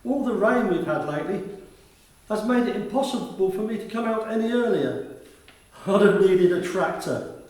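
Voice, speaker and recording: An actor playing a kindly, talkative school attendance officer in a radio play, with polite and formal manners. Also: male voice, sits high, animated, moderate pace, darkish tone. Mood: wry complaint. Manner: courteous, formal address, talkative. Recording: very echoey room, distant from the mic.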